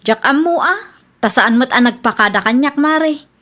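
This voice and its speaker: A woman's voice: high-pitched, expressive, normal speed, a bit bright. A woman acting in an Ilocano radio drama as a fed-up, blunt wife with an Ilocano accent. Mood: exasperated. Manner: exasperated, blunt. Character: fed-up young widow complaining about her lazy second husband.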